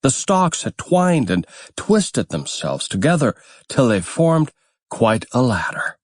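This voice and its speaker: A man narrating a fairytale audiobook in a measured, deliberate storytelling manner. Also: mid-range voice, sing-song, dry recording, clean studio sound.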